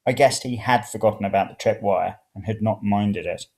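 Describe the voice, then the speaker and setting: A man's voice: lowish voice, a little fast, vocal fry, darkish tone. A man reading a first-person story aloud. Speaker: reader of serial fiction.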